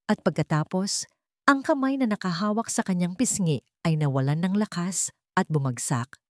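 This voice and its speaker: A woman's voice: fairly low pitch, darkish tone, dry recording, studio quality. A woman with a Filipino accent narrating a dramatic audiobook story from a script.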